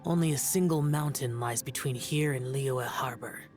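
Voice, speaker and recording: An actor voicing an ancient, stern guardian spirit who speaks in a measured, solemn way. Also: medium pitch, lightly acted. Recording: game dialogue with loud music behind.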